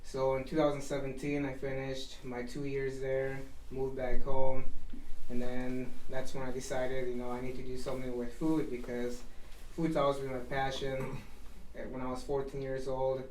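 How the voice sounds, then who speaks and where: A young man from Guatemala talks loosely about himself, full of fillers and restarts. He sits back from the mic in a roomy space, and his delivery stays fairly flat. Faint ambience hums underneath. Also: medium pitch, moderate pace, distant mic, slight background noise. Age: 20s. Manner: relaxed, rambling, many fillers and restarts. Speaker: chef, podcast guest. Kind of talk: casual interview talk.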